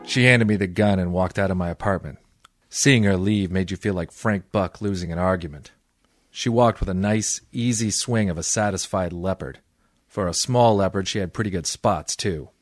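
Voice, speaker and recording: An actor playing a tough, cynical, wisecracking private detective in old-time radio style, deadpan and sardonic. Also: male voice, sits low, normal speed, no echo, studio quality, lightly acted. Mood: wry, deadpan. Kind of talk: old-time radio detective acting with first-person narration.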